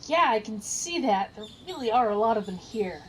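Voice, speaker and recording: A woman playing a practical gnome adventurer with a wry, brisk, expressive delivery. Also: fairly high pitch, slight background noise, natural voice.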